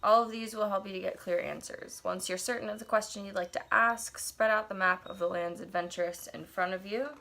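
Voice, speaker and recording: A woman's voice: medium pitch, fairly even intonation, a little fast, gravelly, hardly any pauses, pushed and bright, slight background noise. A young woman in her twenties, congested from allergies, chatting casually while unboxing an oracle deck in her bedroom and thinking aloud with wandering asides. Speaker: tarot and oracle deck reviewer. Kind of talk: casual unboxing chat with read-aloud passages. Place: cluttered bedroom, indoors.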